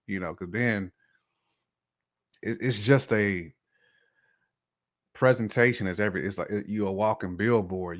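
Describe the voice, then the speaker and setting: A man's voice: fairly low pitch, fairly bright tone. An American man talking casually and slangily as a panelist on a talk show, often checking in with "you know". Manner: conversational, peppered with "you know". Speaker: talk show panelist giving a man's point of view.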